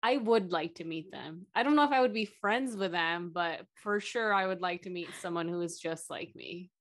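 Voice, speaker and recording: A woman's voice: lowish voice, normal speed. A young woman chatting casually as a podcast co-host. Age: young adult. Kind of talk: casual chat. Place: small bedroom at home, video call.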